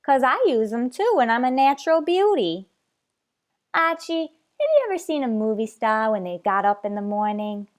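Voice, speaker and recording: Woman playing a sassy, higher-voiced tavern gal. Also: sing-song, moderate pace. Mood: sassy, skeptical. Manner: tart, deflating, skeptical. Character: sassy, vain, man-minded young tavern woman. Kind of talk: old-time radio comedy acting.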